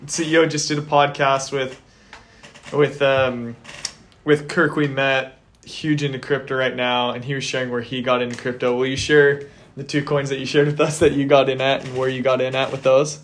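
Young guy hosting a podcast, hyped, slangy, pretty flat tone. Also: medium pitch, moderate pace, a little hiss or hum. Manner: upbeat, enthusiastic, casual slang. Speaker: podcast host. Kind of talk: podcast interview.

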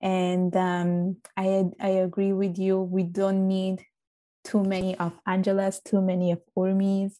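A woman's voice: mid-range voice, monotone, a little slow, dark tone. A woman with a strong Italian accent, chatting as a guest in an earnest, emphatic way. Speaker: interview guest. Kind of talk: casual interview chat.

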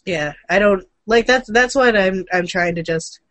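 A woman's voice: mid-range voice, measured pace, fairly bright tone, no echo. A woman co-hosting a casual gaming and comics news stream, speaking in a loose, informal way. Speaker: show co-host.

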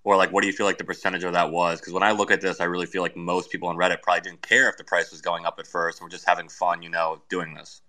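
A man's voice: low-pitched, level tone, quick pace, few pauses, pushed and bright, low-quality recording. A man taking part in a casual discussion, putting questions to a panel in an informal way. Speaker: participant asking the panel questions.

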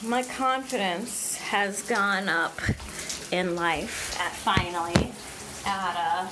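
A woman's voice: medium pitch, slow. A woman in her thirties casually rambling to her listeners in a wry, self-deprecating, hesitant way, swearing freely. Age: 30s. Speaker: vlogger. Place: in a bathroom shower. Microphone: phone at some distance. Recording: roomy, distant mic, running shower water noise. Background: running shower water.

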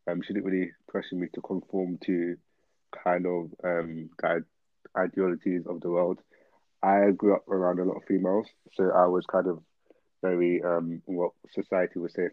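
A man's voice: sits low, no echo. A nineteen-year-old man, talking casually and reflectively as a guest on a thin recording. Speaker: podcast guest, student. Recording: thin phone-like narrow band.

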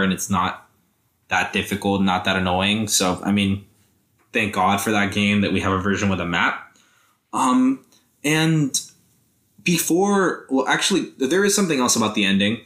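A man's voice: lowish voice, fairly bright tone, roomy sound. A young man hosting a casual solo podcast, rambling and hesitant with many fillers, his voice tired from a sore throat. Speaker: podcast host.

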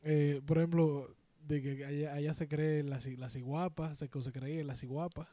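A man's voice: fairly high pitch, steady tone, moderate pace, warm tone, dry recording. A man with a Dominican Spanish accent chatting casually as a podcast co-host, slangy and joking, with some swearing. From Dominican Republic. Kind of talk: casual chat.

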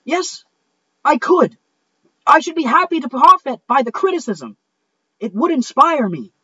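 A woman plays a grand, self-important widow from a stage comedy. Her voice is very high and animated, full of emphatic theatrical swoops. It is a close, dry recording with a slightly dull sound. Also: unhurried, no room sound, full character voice. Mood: indignant, imperious. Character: haughty, self-important young widow rejecting a suitor. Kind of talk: comedic cold reading of a stage play.

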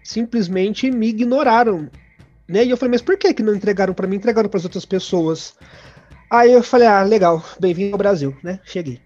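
A man's voice: sits high, fairly quick, a little mellow. A man with a Brazilian Portuguese accent, telling personal stories in a conversational way as an interview guest. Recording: dull lo-fi audio with music behind.

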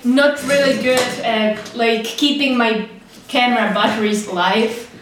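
A young Czech woman chats slowly to her camera, full of fillers and little laughs. She sounds set back from the mic in a bare, strongly echoing room. Faint traffic hums from outside. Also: fairly high pitch, fairly even intonation. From Czech Republic. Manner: chatty, rambling, laughs often, fillers like "like". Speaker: vlogger. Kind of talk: casual monologue to camera. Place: small studio with street traffic outside. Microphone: camera's built-in mic, no external microphone. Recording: roomy, distant camera mic with faint street noise.

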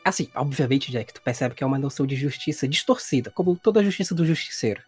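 A man's voice: medium pitch, animated, quick pace, faint music behind. A man with a Brazilian accent, narrating a tabletop role-playing game as game master in an informal, explanatory way.

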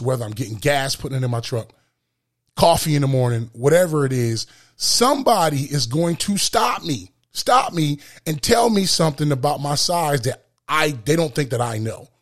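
A man hosting a solo motivational podcast with a booming voice, speaking emphatically and repeating phrases for effect. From lives in Florida. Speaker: podcast host.

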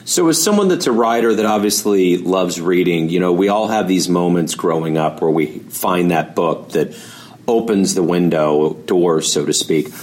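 A male podcast host with a very low, deep voice. He builds long, carefully framed questions before handing over to his guest. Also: moderate pace, darkish tone. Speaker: interview host.

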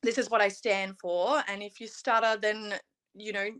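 A woman's voice: medium pitch, fairly even intonation, normal speed, fairly bright tone. A young woman from Australia, a guest in a casual interview, talking in an earnest, chatty way full of "you know" and "um". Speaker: interview guest, pageant titleholder.

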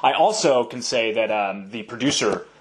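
A man's voice: mid-range voice, expressive, normal speed. A man hosting a podcast interview, asking long, winding questions in a casual, conversational way. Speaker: podcast host. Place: Los Angeles.

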